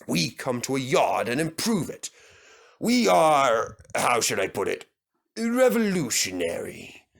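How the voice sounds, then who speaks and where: A man's voice: medium pitch, huge pitch swings, takes it slowly, vocal fry, exaggerated voice. A man voicing a smug, oily male diesel engine who purrs condescendingly.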